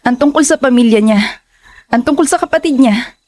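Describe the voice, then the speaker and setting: Woman acting a high-voiced, troubled young woman. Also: brisk, a little mellow, close to an everyday voice. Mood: grave, thoughtful. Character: young woman, hesitant, grave, quietly stubborn. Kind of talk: audio horror drama acting.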